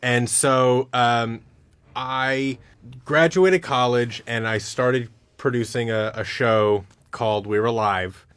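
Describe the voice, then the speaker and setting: A man's voice: medium pitch, steady tone, slow pace, a bit bright, smooth, dry recording. A man talking casually as an interview guest, an audio drama producer who tells stories by acting out the exchanges. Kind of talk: casual chat.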